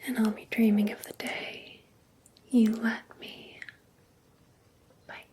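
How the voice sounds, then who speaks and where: Slow whispering obsessed vampire ex-girlfriend, acted by a woman. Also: on the high side, flat delivery. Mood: teasing, longing. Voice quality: soft, breathy whisper. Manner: slow, coaxing, teasing, lingering on endearments. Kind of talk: whispered ASMR roleplay.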